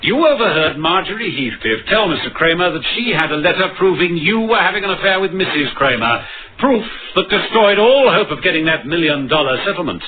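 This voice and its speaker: A stern police inspector from an old radio mystery, coolly confident and probing. His fairly high voice swings widely in pitch. The broadcast sounds muffled. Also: sing-song, fairly quick, a little hiss or hum. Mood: accusing. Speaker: lead actor and narrator. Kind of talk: old-time radio crime drama acting.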